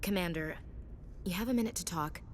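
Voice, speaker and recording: A somewhat low female voice as a space navy chief, performed by an actress. She is earnest, wary and respectful in a plain-spoken game-dialogue way, with faint rumble. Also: fairly quick, natural voice. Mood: concerned.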